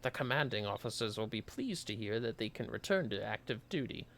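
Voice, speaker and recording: A man's voice: lowish voice, lively intonation, a little fast, gravelly, fairly bright tone, slight background noise. A man voicing an earnest military healer in a fantasy game, speaking formally and effusively. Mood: amazed praise.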